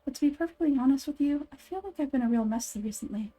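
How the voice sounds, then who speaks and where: A woman's voice: high-pitched, fast talker, mellow, close to an everyday voice. A woman voicing an earnest, lovelorn young working woman in a game, confiding and conversational. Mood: weary.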